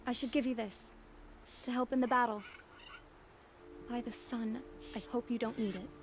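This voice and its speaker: High-voiced, grieving, devoted young woman played by an actress. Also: fairly even intonation, moderate pace, noisy background, natural voice. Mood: tender, solemn. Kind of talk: video game dialogue acting.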